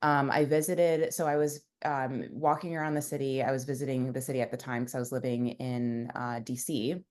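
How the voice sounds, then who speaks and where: A woman's voice: extremely low pitch, flat delivery, a little fast, hardly any pauses, a little mellow. A young woman, a founder, telling her story in a casual interview, rambling with many fillers. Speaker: founder and guest.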